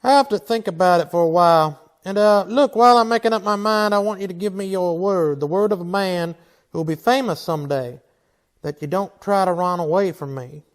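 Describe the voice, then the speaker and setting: A man's voice: high voice, a little fast, close to an everyday voice. An actor playing a stern, wry Old West marshal in a radio drama, blunt and folksy in his manner. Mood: wry, gentle. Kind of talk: old-time western radio drama acting.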